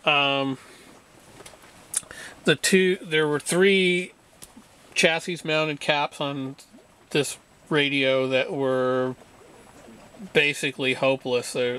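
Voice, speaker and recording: Slow, halting man with a bright voice. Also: on the high side, clean tone, low-quality recording. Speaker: hobbyist radio restorer. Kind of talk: informal hands-on demonstration narration.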